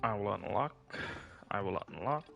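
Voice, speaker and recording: A man's voice: on the low side, lively intonation, normal speed, creaky, highs cut off, faint music behind. A man streaming a video game, chatting casually and thinking aloud with questions to himself. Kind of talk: casual gameplay commentary.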